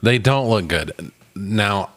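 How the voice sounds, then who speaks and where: A man's voice: fairly low pitch, lively intonation, takes it slowly, a bit bright. A man co-hosting a podcast, chatting casually in short, dry, laid-back remarks. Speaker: podcast co-host. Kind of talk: casual chat, short reactions.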